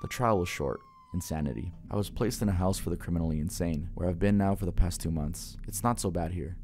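A man's voice: low-pitched, brisk, a little mellow. A man voicing a horrified, grieving mother who tells a first-person horror story. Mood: grim, haunted.

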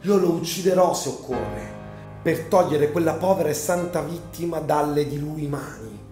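Music plays under an echoey recording of an anguished young gentleman played by a male actor. His voice sits a little high for a man, emphatic and passionate in radio drama fashion. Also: moderate pace, lightly acted. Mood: passionate, vengeful.

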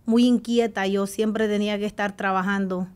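A woman's voice: medium pitch, steady tone, moderate pace, a little mellow, smooth. A middle-aged woman from El Salvador with a Salvadoran accent, telling her own life story as an interview guest in a studio. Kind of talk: recounting personal life in conversation. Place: small radio studio. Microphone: close desk microphone with headphones.

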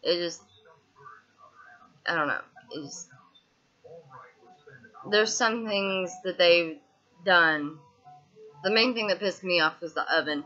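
A young woman with a Southern US twang talks straight into her laptop webcam from a small room, venting her frustrations in a loose, rambling video diary. Her voice is bright and forward, and it often stumbles over restarts and fillers. The audio is dull and lo-fi, typical of a built-in webcam mic. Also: on the low side, slow pace, pauses often. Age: 20s. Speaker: vlogger. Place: small indoor room, seated on a couch.

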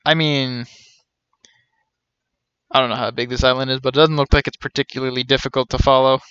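A man's voice: mid-range voice, level tone, brisk, fairly bright tone, clean tone, dry recording. A man in his thirties giving casual live game commentary as a streamer, with wry, joking asides. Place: indoor room, webcam stream. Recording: dull lo-fi sound, music behind. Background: game music.

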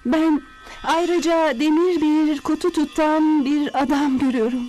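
A woman playing an uneasy fortune-teller in a cartoon dub, speaking dramatically with hesitant pauses. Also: high voice, fairly even intonation, warm tone, a little hiss or hum, low-quality recording. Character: female fortune-teller gazing into a crystal ball, uneasy. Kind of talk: cartoon dubbing.

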